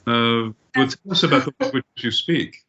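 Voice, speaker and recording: A man's voice: mid-range voice, normal speed, pushed and bright, dull audio. A man co-hosting a podcast, asking long, rambling questions. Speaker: podcast co-host. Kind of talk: interview questions.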